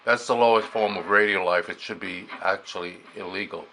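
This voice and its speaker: A man casually demonstrating amateur radio gear, haltingly thinking aloud as he works the controls. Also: low voice, fairly even intonation. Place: beside operating radio equipment. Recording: noisy recording with clear background hiss.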